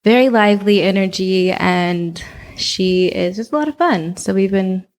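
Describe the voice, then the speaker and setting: Gravelly low-voiced woman, chatty guest. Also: a little slow. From Oakland, California. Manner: relaxed, chatty, with frequent fillers. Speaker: podcast guest. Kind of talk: casual interview chat.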